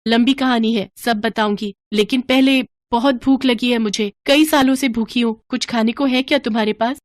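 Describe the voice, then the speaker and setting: A woman voicing a playful young fairy princess in an animated fairy tale. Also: on the high side, moderate pace, dry recording, studio quality, close to an everyday voice. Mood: hungry, friendly. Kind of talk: animated moral story dialogue.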